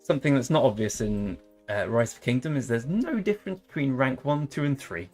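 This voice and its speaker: A man's voice: mid-range voice, expressive, fairly quick, darkish tone, soft background music. A young man chatting casually while live-streaming a strategy game, rambling and joking. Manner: jokey, rambling, tries silly accents. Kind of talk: casual live-stream chat over gameplay.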